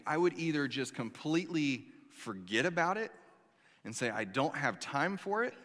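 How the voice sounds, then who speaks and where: A man in his thirties preaching a sermon as pastor, telling a personal story in an animated, conversational way with acted asides. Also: mid-range voice, in a large hall. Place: church sanctuary.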